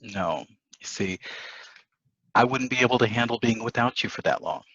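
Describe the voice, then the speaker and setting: A man's voice: medium pitch, normal speed, fairly bright tone. A man acting a smitten, flirtatious married man from the 1950s in a stage play table read, on a dull lo-fi recording. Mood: fond. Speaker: actor at a table reading. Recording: dull lo-fi sound.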